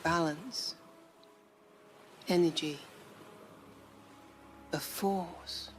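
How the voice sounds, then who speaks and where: A woman's voice: on the low side, steady tone, drawn-out words, a little hiss or hum. A woman playing a young Jedi pupil in an audio drama, speaking in sparse, searching, trance-like phrases. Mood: entranced wonder.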